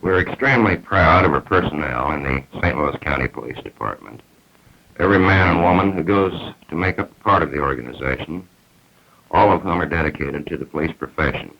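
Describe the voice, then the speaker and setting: A man's voice: extremely low pitch, a little fast, creaky, slight background noise. A man speaking as a police chief, giving a formal prepared statement on 1950s film. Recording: dull 1950s film soundtrack.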